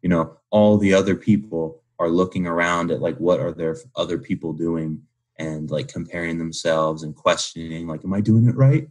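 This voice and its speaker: A man in his twenties with a deep, husky voice. He speaks as a guest in a casual interview, candid and informal, leaning on slang and filler words. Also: normal speed. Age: 20s. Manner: informal slangy speech peppered with "like". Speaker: podcast guest, young entrepreneur.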